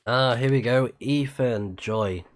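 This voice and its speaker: A man's voice: mid-range voice, takes it slowly. A man livestreaming a video game, giving casual commentary and reading game text aloud. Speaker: game streamer.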